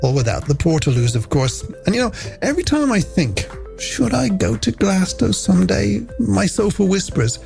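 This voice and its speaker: A man's voice: high voice, sing-song, moderate pace, gravelly, a little mellow. A man presenting a late-night music radio show in chatty, playful, scripted patter.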